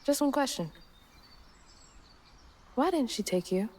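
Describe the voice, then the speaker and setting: An actress playing an earnest, compassionate young game heroine with an expressive, conversational delivery. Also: female voice, a little high, takes it slowly. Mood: insistent.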